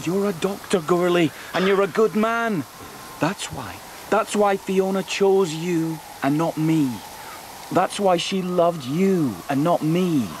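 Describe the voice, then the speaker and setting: An actor playing an earnest, long-suffering police sergeant, urgent and exasperated, in a radio drama. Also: male voice, high-pitched, slow. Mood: exasperated, pained. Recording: noisy outdoor effects behind.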